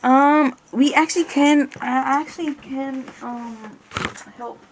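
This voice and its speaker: A woman with a creaky voice doing an ASMR roleplay in her normal speaking voice, casual and hesitant as she talks through each step. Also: high voice, fairly even intonation, slow pace, slight background noise. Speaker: ASMR creator playing an examiner.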